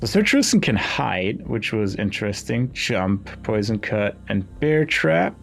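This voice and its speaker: Low, gravelly man musing over a game. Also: very animated, measured pace. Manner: hesitant, musing, reads game text aloud. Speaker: gaming video host. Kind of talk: casual game commentary, thinking aloud. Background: game music.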